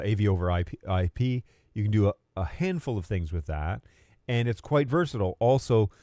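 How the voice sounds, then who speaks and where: A man's voice: low voice, dark tone, no room sound, clean studio sound. A man giving an unscripted, conversational tech explainer, thinking aloud and rephrasing as he goes. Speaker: presenter of a tech explainer video.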